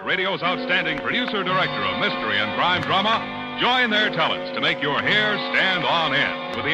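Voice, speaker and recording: A man announcing in 1940s radio style, delivering an emphatic, polished sales pitch with a slightly rough edge. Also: pushed and bright, rough recording. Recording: vintage radio broadcast, faint music.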